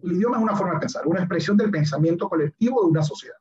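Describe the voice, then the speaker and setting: Hombre venezolano de lengua materna inglesa, voz aguda y bromista, sonido apagado de baja calidad, invitado de pódcast. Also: male voice, high voice, rapid-fire, darkish tone. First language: English. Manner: playful, joking storyteller. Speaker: guest, lawyer and philosopher. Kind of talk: casual podcast conversation. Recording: dull lo-fi sound.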